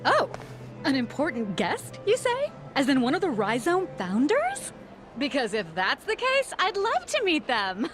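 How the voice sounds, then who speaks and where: Game role: haughty socialite woman, high, extremely swooping delivery, faint music behind. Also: wildly swooping pitch, a little slow, fairly bright tone, heavily acted. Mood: eagerly interested. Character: snooty, social-climbing, dismissive woman guest. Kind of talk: exaggerated video game voice acting.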